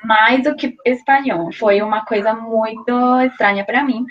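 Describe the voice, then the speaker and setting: A woman's voice: on the high side, unhurried, muffled recording. A young woman from Colombia, a native Spanish speaker with a Colombian accent, telling her story in a casual interview with many restarts. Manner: hesitant, many restarts and fillers, laughs easily. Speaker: guest, Portuguese learner. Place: small room at home.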